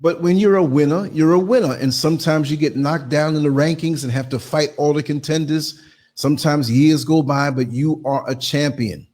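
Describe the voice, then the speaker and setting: A man in his late fifties with a husky voice, hosting an informal motivational livestream monologue and repeating phrases for emphasis. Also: fairly high pitch, normal speed, darkish tone. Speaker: livestream host and podcaster.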